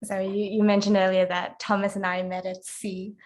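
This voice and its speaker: A woman's voice: medium pitch, moderate pace. A woman chatting casually as a guest speaker while walking through her slides. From Singapore. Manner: relaxed, chatty, presenting slides. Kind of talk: casual presentation.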